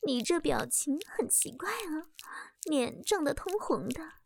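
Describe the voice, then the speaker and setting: Woman doing a breathy, teasing young wife. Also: high-pitched, a little slow, heavily acted. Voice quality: breathy, whispery. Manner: cutesy, refers to herself as "人家". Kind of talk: intimate audio roleplay. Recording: steady background noise.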